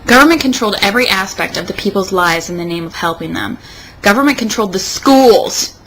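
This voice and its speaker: A woman's voice: mid-range voice, expressive, a little fast, a bit bright, a little hiss or hum, low-quality recording. A young American woman reading aloud in a mock-dramatic, sarcastic way with casual asides. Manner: sarcastic, emphatic delivery. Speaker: co-host.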